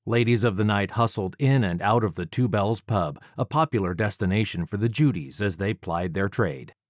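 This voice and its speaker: A man narrating a first-person story as an audiobook reader, dramatic, measured and deliberate, close to the microphone. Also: on the low side, no room sound.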